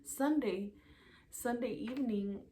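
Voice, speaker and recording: A woman's voice: fairly high pitch, takes it slowly, warm tone, a little hiss or hum. A young woman talking casually to her channel, recounting events day by day from her notes with plenty of fillers. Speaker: YouTuber. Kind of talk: casual vlog storytelling.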